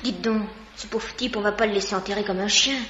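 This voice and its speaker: An actress playing a spirited, sensible young student in a 1950s French radio mystery, lively, cheeky and slangy. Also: female voice, medium pitch, fast, slight background noise, close to an everyday voice. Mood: eager, kindly. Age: young adult. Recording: dull vintage radio recording.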